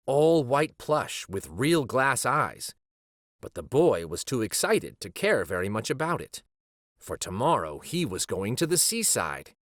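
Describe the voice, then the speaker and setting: A man reading a children's story aloud as a storyteller, with measured, dramatic phrasing. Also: lowish voice, no room sound, studio quality. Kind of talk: expressive scripted storybook narration.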